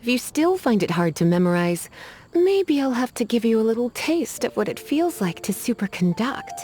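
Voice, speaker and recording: An actress playing a languid, teasing adult librarian mage who scolds with playful menace. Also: female voice, on the high side, big pitch swings, moderate pace, warm tone. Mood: scolding. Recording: game cutscene with faint music behind.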